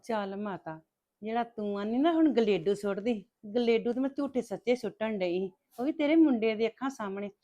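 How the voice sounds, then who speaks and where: A woman's voice: fairly high pitch, fairly quick, mellow, close to an everyday voice. A woman acting a scheming daughter-in-law who taunts her mother-in-law with sly, smug threats. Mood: taunting.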